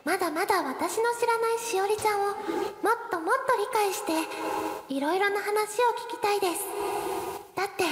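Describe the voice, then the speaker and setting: A woman playing an earnest, put-upon high school club captain in a radio drama, gentle and hesitant. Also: brisk, noisy background. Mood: earnest, heartfelt. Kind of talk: radio drama dialogue and narration. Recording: roomy echo, loud music behind.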